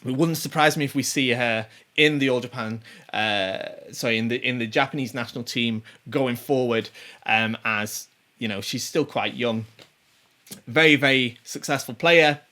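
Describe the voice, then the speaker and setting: A man in his forties talks loosely through a list of names as a show host, his voice at an ordinary middle pitch. He rambles, circles back with plenty of ums and false starts, and chuckles to himself now and then. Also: moderate pace, pushed and bright. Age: 40s. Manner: rambling, many ums and restarts. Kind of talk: casual commentary on tournament players.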